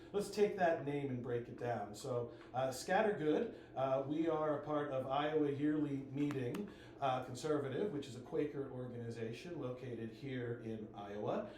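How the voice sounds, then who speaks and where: A man of middle pitch presents his school at an open house. His talk is relaxed and loose, full of ums. His voice rings around a very echoey room over a faint hiss. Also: moderate pace. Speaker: enrollment manager.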